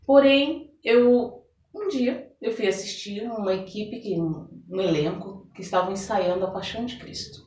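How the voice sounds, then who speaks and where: Casting: middle-aged Brazilian woman, expressive interview guest, mid-pitched, recorded in an echoey room. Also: unhurried. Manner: expressive storytelling, often seeking agreement with tags. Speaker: interview guest, therapist and theater director. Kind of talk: conversational interview answers. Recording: echoey room, distant microphone.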